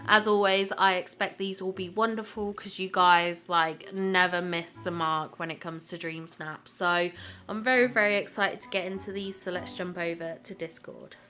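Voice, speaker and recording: Bright, chatty woman, a bit stuffy. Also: medium pitch. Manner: chatty and enthusiastic, repeats words for emphasis. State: slightly congested from a cold. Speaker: gaming channel host. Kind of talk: casual game commentary vlog.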